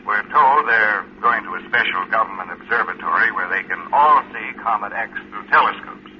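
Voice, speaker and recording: Actor as low-voiced breezy radio newsman. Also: normal speed, close to an everyday voice. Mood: breezy, reassuring. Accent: American. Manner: folksy, chatty announcer delivery. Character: breezy, reassuring male radio news reporter. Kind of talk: 1950s radio news commentary. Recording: muffled vintage radio, faint hiss.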